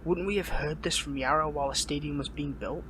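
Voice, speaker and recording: A man playing a wounded young captive hero in an audio roleplay, wry and defiant, speaking haltingly with a pained, weary strain. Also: fairly high pitch, background noise, close to an everyday voice. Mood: confused, exasperated. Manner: halting, sardonic, stammering. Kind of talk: dramatic audio roleplay, one-sided dialogue.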